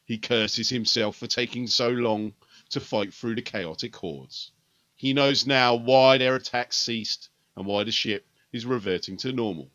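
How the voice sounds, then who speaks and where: A man reading a dark battle story aloud as a dramatic audiobook narration, measured and swinging between loud and hushed. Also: no echo. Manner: measured, with sharp swings in pitch and loudness.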